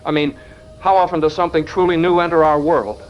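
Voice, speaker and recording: A man acting as an earnest young male lab researcher with a quite high voice. He talks conversationally, as if being interviewed in an audio drama. The sound is dull, with faint noise behind it. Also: fairly even intonation, fast, close to an everyday voice. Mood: proud, excited.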